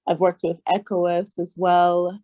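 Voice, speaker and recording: A woman's voice: on the low side, monotone, unhurried, no echo, lo-fi sound. A young woman with an American accent, born in Nigeria, talking casually as an interview guest and hedging with many fillers and restarts. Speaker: guest trade expert.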